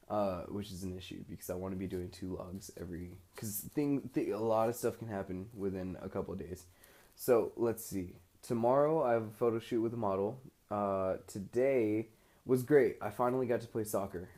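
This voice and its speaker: Young American male for audio diary: lowish, very mellow voice, slangy, loose, unscripted delivery. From United States. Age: young adult. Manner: rambling, informal, slangy. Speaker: student recording an audio log abroad. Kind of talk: casual spoken diary.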